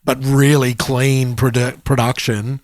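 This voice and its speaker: A man's voice: mid-range voice, flat delivery, unhurried, dry recording. A man with a mid-range voice speaks casually as a podcast co-host. He works through his thoughts aloud in a conversational way, and the recording is close and dry. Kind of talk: casual music podcast chat.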